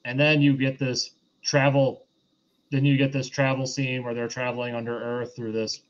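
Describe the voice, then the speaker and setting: A man giving a casual film review recap as a podcast host, haltingly and circling back over his phrases. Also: medium pitch, completely flat delivery, highs cut off.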